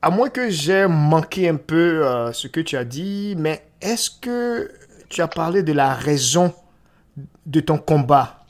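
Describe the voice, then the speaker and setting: A man hosting a radio interview, giving short encouraging affirmations and asking gentle questions. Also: fairly high pitch, lively intonation, slow pace. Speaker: radio host.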